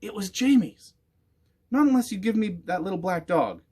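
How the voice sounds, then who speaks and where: A man's voice: high-pitched, big pitch swings, normal speed, dark tone, slightly rough, voice pushed up, exaggerated voice. A man voicing a stubborn, defiant young boy in a storybook reading. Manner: defiant, panting.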